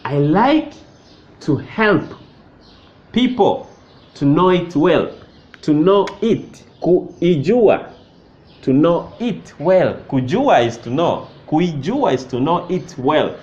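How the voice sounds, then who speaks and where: A man walks a class through a lesson, moving about as he writes on a whiteboard. He speaks slowly and repeats every phrase, his fairly high voice rising and falling a great deal. The classroom sound is dull and lo-fi, picked up by a camera mic from mid distance. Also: big pitch swings, a little mellow. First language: Swahili. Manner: slow, deliberate, repeats each phrase; moves around while writing on the whiteboard. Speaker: Swahili teacher. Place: indoor classroom.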